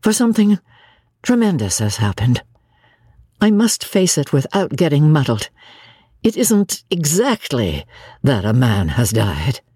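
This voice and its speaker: A woman's voice: sits low, huge pitch swings, warm tone, breathy edge, no room sound, studio quality, exaggerated voice. A woman reading a brooding, blunt young man. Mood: insistent. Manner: blunt, insistent, halting.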